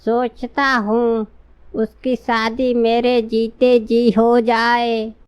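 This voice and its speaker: A woman's voice: on the high side, flat delivery, extremely slow, clean tone, no echo, muffled recording. A woman voicing a worried, trusting old village father in a cartoon, with a plaintive, deferential manner. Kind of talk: cartoon dialogue.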